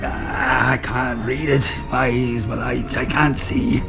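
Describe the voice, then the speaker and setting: An actor playing a fierce, drunken old sea captain in a radio play, gruff, explosive and bullying. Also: male voice, mid-range voice, level tone, takes it slowly, over music. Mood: agitated, desperate.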